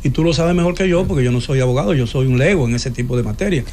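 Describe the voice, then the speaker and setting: A man's voice: mid-range voice, lively intonation, rapid-fire, darkish tone, slight background noise. A man debating politics on a talk show, hesitating with many filler sounds and restarts, often asking for agreement. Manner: opinionated, asks listener for agreement.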